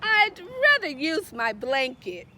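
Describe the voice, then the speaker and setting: A woman's voice: high-pitched, big pitch swings, takes it slowly, bright, forceful tone, slight background noise, exaggerated voice. A woman voicing a boastful, playful little fairy girl from a picture book, with childlike, theatrical emphasis. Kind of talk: picture-book read-aloud.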